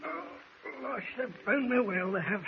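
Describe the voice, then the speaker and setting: An old Scottish seaman in a vintage radio serial. His voice sits high, earnest and canny, fussing and exclaiming as he urges things along. The sound is thin and tinny with faint hiss. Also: unhurried. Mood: urgent, alarmed. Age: older. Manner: exclaiming, fussing, repeating himself. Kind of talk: 1940s radio adventure acting.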